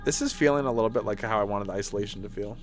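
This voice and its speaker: A man's voice: fairly low pitch, racing, over music. A man chatting casually on a game livestream, rambling and interrupting himself, with some mild swearing. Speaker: game streamer. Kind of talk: casual livestream gameplay chat.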